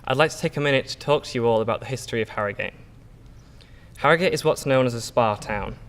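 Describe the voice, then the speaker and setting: A man's voice: medium pitch, quick pace, fairly bright tone. Teen boy with Yorkshire English accent, echoey hall. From England. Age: teens. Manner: earnest, reads from notes with small slips and fillers. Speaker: student giving a speech. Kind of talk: prepared school speech. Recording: echoey hall, long reverb.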